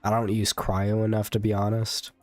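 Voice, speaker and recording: A man's voice: on the low side, completely flat delivery, moderate pace, darkish tone. A man streaming a game, chatting casually in a laid-back, rambling way with casual swearing. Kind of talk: casual gameplay commentary.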